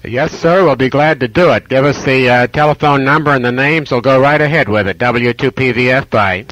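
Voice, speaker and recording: A man's voice: mid-range voice, a little fast, fairly bright tone, dry recording, poor audio. A courteous man working as an amateur radio operator, relaying calls in procedural radio talk. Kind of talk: radio contact, procedural chat. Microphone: ham radio microphone.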